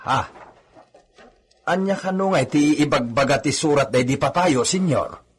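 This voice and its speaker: Raspy, nervous male henchman. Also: on the high side, lively intonation, moderate pace. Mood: hesitant, deferential. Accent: Ilocano. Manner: hesitant, stammering. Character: nervous, deferential henchman serving a landowner. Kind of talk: Ilocano radio drama acting.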